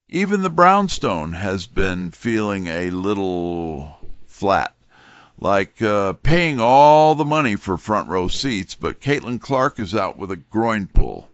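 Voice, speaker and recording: Guy playing a wisecracking detective sidekick, lowish voice, takes his time, dry studio sound, scripted mystery. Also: no echo. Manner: wry, folksy, unhurried. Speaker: narrator and detective's assistant. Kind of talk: scripted first-person mystery narration and dialogue.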